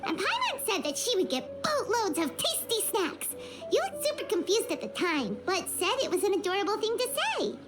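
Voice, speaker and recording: Squeaky, bubbly little fairy companion. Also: female voice, big pitch swings, normal speed. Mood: cheerful. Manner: speaks of self in third person, bubbly. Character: small chirpy childlike fairy companion, friendly, self-important. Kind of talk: video game voice acting. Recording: game music behind the voice.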